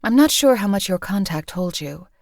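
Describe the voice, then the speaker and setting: A woman's voice: medium pitch, lively intonation, normal speed, darkish tone, dry recording, natural voice. A woman playing a composed female assassin for hire in a video game, speaking in a dry, matter-of-fact way. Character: cool, lethal female assassin companion for hire. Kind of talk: video game companion voice lines.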